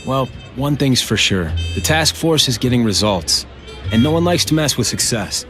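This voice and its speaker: A young, guarded American company heir , acted by a man in a game cutscene. He talks in a measured, confiding way at an average pitch, sounding self-important, with faint music underneath. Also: animated, natural voice. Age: young adult. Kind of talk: video game cutscene acting.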